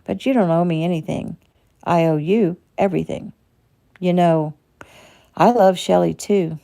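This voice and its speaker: Brief: earnest young son voiced by a woman, low mellow voice with gravel. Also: a little slow. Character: affectionate young adult son.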